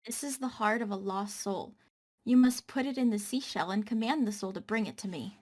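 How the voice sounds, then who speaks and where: A woman's voice: medium pitch, brisk, a little mellow, lightly acted. A woman voicing a devoted yet commanding guardian angel in a dramatic script reading. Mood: solemn.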